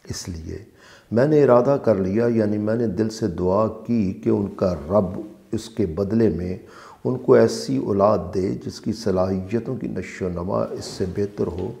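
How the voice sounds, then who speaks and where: A man reading a religious translation aloud as scripted narration, in a measured, deliberate way with emphasis on phrases. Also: lowish voice, vocal fry, dark tone. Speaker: translator and reciter of a Quran translation.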